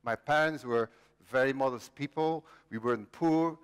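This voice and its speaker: A man's voice: medium pitch, normal speed, clean tone. A man in his late fifties from Belgium, telling the story of his own life as a conference speaker, joking with the audience and poking fun at himself. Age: 50s. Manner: self-deprecating, jokey with the audience. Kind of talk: personal storytelling talk. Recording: long reverb of a large hall.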